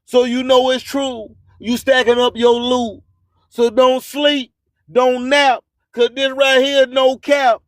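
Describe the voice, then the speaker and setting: High-pitched man hosting radio, slow and emphatic. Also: level tone, no echo. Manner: emphatic, declamatory, repeats phrases. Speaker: internet radio host. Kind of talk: hype radio promo, repeated slogans.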